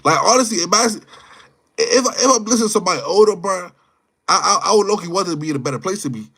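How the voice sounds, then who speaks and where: Male livestreamer, mid-twenties, high-pitched voice, off-the-cuff slangy talk that loops back on itself. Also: lively intonation, fast, vocal fry, fairly bright tone, husky. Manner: slangy, rambling, repeats phrases and words. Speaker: livestream host chatting with viewers. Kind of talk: casual live chat, off-the-cuff opinion.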